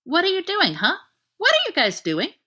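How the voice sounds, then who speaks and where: A woman's voice: extremely high pitch, huge pitch swings, moderate pace, bright, forceful tone, voice pushed up, full character voice. Woman doing a yippy, dog-brained boy. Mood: giddy. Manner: breathless, gushing, yippy. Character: excitable boy turned half dog, overjoyed.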